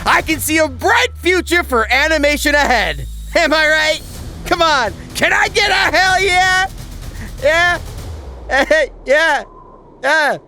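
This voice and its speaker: Animated, high-pitched sarcastic video essay host. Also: slow pace. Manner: sarcastic, exaggerated, swearing. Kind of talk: energetic scripted video essay narration with sponsor read. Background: background music.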